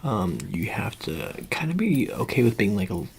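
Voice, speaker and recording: A man speaking softly in an ASMR roleplay, halting and casual, often slipping into a whisper. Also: big pitch swings, slight background noise. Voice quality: soft, breathy, often dropping into whisper. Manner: halting, casual, low-key official patter. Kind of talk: ASMR roleplay, soft-spoken, improvised.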